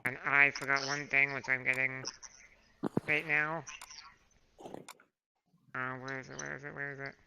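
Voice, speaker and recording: A hoarse man running a tabletop game as dungeon master, unhurried and full of fillers. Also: mid-range voice, level tone. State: hoarse from a throat infection. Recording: muffled home recording with background noise.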